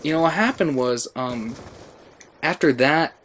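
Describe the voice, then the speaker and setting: A man's voice: fairly high pitch, lively intonation, measured pace, fairly bright tone, slight background noise. An American man casually telling a story over gameplay, rambling and often interrupting himself. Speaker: gaming channel storyteller.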